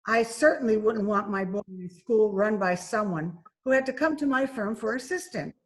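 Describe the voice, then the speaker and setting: A woman's voice: mid-range voice, moderate pace, natural voice. A woman playing a suspicious, prim, disapproving mother in old-time radio comedy style. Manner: prim, skeptical. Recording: faint noise and clicks, remote recording.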